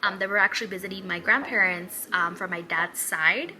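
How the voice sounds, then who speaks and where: A woman's voice: mid-range voice, brisk, pushed and bright, soft background music, low-quality recording. A woman in her twenties from Mexico, chatting upbeat and rambling through a get-to-know-me vlog. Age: 20s. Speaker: hairstylist vlogger. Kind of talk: casual Q&A vlog.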